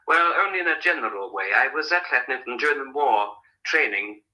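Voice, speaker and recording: An actor playing a polite, uneasy war veteran stranded as a guest in a radio drama, apologetic and hesitant. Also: male voice, mid-range voice, animated, a little fast, sharp tone, poor audio.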